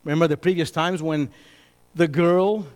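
A pastor preaching a sermon, emphatic, moving between loud bursts and hushed asides. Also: male voice, a little high, fairly even intonation, normal speed. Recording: reverberant hall.

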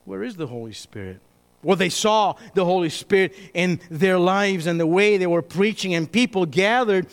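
A pastor preaching a sermon, emphatic, moving between loud bursts and hushed asides. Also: male voice, high-pitched, animated, moderate pace. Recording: reverberant hall.

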